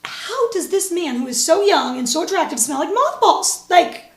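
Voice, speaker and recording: A woman co-hosting a casual chat show, telling stories in an animated way and laughing often. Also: very high-pitched, normal speed. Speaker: co-host. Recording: echoey room.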